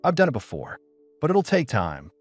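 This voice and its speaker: A man voicing a steady, protective ex-soldier in an audiobook, speaking in terse, firm instructions. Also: medium pitch, normal speed, faint music behind, voice pushed up. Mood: firm, calm. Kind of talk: audiobook character dialogue.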